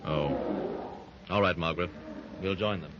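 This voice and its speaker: An actor playing a stern, commanding jungle hero in a 1930s radio drama, terse in manner. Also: male voice, low voice, normal speed, lightly acted. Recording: dull lo-fi 1930s radio recording with faint hiss.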